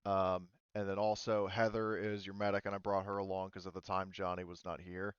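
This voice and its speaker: Low smooth guy game mastering, kinda thinking out loud, slightly muffled audio. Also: little pitch movement, a little fast, dry recording. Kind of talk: casual tabletop game narration.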